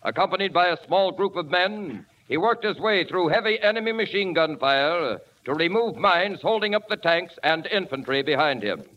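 A man's voice: high voice, animated, moderate pace, few pauses. A man with an American accent reading a scripted announcement in formal 1940s radio style. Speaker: commercial announcer. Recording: dull 1940s radio recording.

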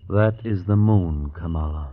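A man's voice: low voice, measured pace. A man playing a scheming, discontented young dreamer in an old-time radio drama, with stylized, theatrical, archaic speech. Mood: sullen. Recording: muffled old radio recording.

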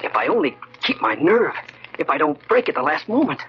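An actor playing a nervous, desperate man with an agitated, halting, melodramatic delivery in 1940s radio horror style. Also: on the high side, very animated. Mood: tense, scheming. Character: nervous, desperate nephew plotting murder. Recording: muffled, noisy old radio recording.

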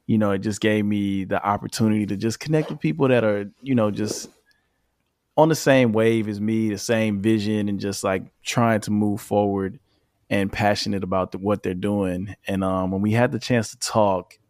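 A man's voice: fairly low pitch, moderate pace, dry recording, clean studio sound. Laid-back man hosting a podcast. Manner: relaxed, leans on "you know" fillers. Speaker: podcast host. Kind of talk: casual interview chat. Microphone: remote call.

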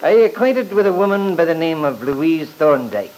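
An actor playing a shrewd, courtly lawyer who questions in a measured, formal, probing way, in old-time radio drama style. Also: male voice, a little high, sing-song, a little mellow. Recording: noisy vintage radio recording.

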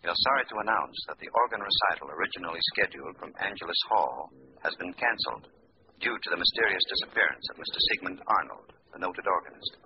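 An actor playing a formal radio announcer in an old radio drama. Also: male voice, deep voice, lively intonation, quick pace. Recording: dull old radio recording with hiss.

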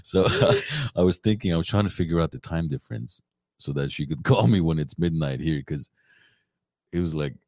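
A man co-hosting a casual podcast, telling an animated story and laughing often. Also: low-pitched, moderate pace, no echo, clean studio sound. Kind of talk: casual chat.

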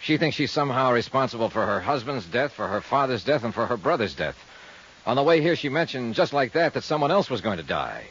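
A male actor with an American accent playing a cool, steady insurance investigator in a 1950s radio detective drama. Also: medium pitch, brisk, breathy edge. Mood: guarded, concerned. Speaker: lead actor and narrator of a radio detective serial. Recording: muffled 1950s radio recording.

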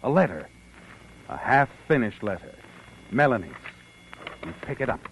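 An actor playing a knowing, insinuating narrator who taunts like a conscience, in dramatic 1940s radio suspense style. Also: male voice, medium pitch, big pitch swings, normal speed, slight background noise, lightly acted. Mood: knowing, ominous. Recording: dull old radio broadcast with music.

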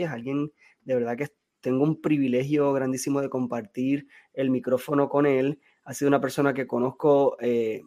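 A man hosting a podcast interview, talking hesitantly with many fillers and tag questions. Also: medium pitch. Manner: hesitant, frequent fillers and "¿verdad?". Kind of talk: casual interview.

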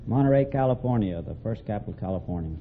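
A man's voice: fairly low pitch, expressive, quick pace, phone-quality sound. An American man in his fifties giving short, polite, earnest answers as a quiz contestant. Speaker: quiz contestant, Navy Seabee. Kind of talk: short, unscripted interview answers. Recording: thin band-limited old radio, very noisy.

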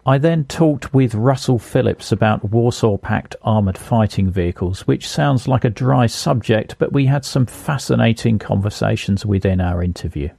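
A man's voice: fairly low pitch, normal speed, gravelly, hardly any pauses, warm tone, slightly rough, dry recording, clean studio sound. A man hosting a history podcast, steadily linking clips with plain narration and interview questions. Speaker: podcast host.

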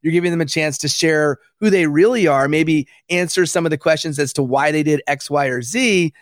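A man interviewing a podcast host, asking measured, affirming questions. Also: on the high side, no room sound, studio quality. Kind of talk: conversational interview.

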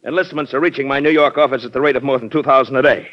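An actor playing a proud, forceful American colonel with political ambitions, in an old-time radio drama. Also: male voice, medium pitch, fairly even intonation, very fast, faint music behind, lightly acted. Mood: proud, defiant. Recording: dull lo-fi old radio recording.

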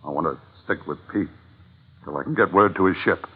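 Husky scrappy sailor, old radio. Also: male voice, low-pitched, brisk. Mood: blunt, insistent. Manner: blunt, slangy, punchy. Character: rough, scrappy, loyal American sailor, brawler. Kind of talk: old-time radio drama acting. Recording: tinny vintage radio recording.

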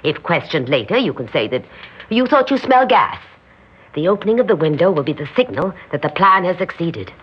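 Grandiose scheming society hostess, swooping delivery. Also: female voice, lowish voice, big pitch swings, fairly quick, a little hiss or hum. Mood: coolly commanding. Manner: theatrical, imperious. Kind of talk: old radio drama acting. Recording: muffled old radio recording.

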